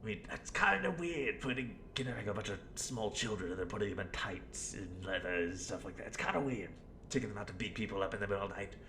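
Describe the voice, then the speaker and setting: Male amateur performer as scheming clown villain, low gravelly voice, sardonic rambling, erratic muttering and outbursts, roleplay monologue, roomy echo. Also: lively intonation, fast, bright, forceful tone. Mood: mocking, indignant. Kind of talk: in-character audio roleplay monologue. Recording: roomy echo, faint rumbling background noise.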